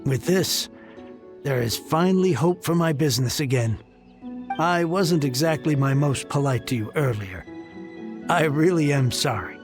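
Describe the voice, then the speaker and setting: A man's voice: a little high, moderate pace, over music, natural voice. A man playing a flustered, anxious merchant in a video game, with a stammering, fretful manner. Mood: grateful. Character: flustered, anxious male merchant with a struggling business. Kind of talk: video game character acting.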